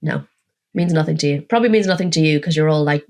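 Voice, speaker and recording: A woman, co-hosting a casual football podcast with a bunged-up, nasal, croaky voice, chatty and self-mocking as she runs through the scores. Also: low-pitched, quick pace, darkish tone. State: congested, croaky voice. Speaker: podcast co-host.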